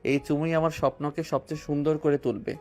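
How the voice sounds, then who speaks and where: A man's voice: fairly high pitch, fairly even intonation, fast, mellow, lightly acted. A male voice actor playing a romantic young husband who teases his wife tenderly in an audio drama. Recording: music bed underneath.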